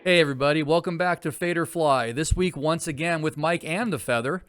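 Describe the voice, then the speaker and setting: A man's voice: a little high, brisk, a bit bright, smooth, soft background music. A man co-hosting a sports betting podcast, chatting casually and joking around. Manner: easygoing, joking. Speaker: podcast co-host.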